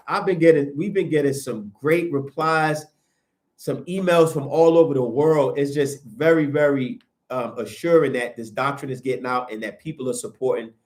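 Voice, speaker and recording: A man hosting a livestream, preaching in a casual, animated way and answering viewer comments as he goes, with a sniffly nose. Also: a little high. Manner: animated, preachy, rises sharply when emphatic. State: sniffling from sinuses. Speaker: livestream host.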